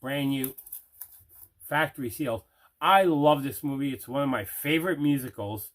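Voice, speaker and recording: A man's voice: mid-range voice, moderate pace. Enthusiastic man hosting a casual unboxing. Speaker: movie collector, video host.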